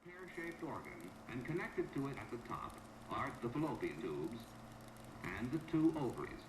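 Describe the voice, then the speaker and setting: A formal, instructive educational film narrator, played by a man. His middle-pitched voice is very animated, on an echoey recording. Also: moderate pace, background noise, lightly acted.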